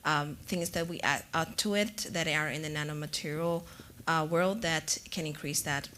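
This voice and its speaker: Low-voiced woman presenting in a conference room. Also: steady tone, normal speed, sharp tone, a little hiss or hum. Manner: explanatory, with fillers. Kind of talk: answering panel questions.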